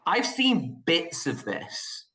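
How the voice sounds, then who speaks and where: A man in casual film discussion on a podcast, speaking in an excitable, emphatic way. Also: fairly high pitch, very slow. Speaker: podcast panelist. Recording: echoey room sound.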